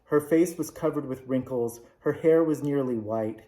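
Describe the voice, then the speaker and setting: Guy reading a kids' book out loud, mellow warm tone, roomy echo. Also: mid-range voice, normal speed. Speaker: storybook reader. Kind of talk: reading a children's novel aloud.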